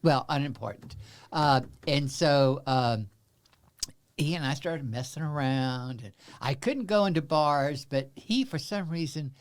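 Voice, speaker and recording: Older Texan man, halting and wry. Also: fairly high pitch, animated. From Central Texas. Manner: halting, many fillers and restarts, wry humor. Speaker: guest. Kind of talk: personal storytelling in an interview.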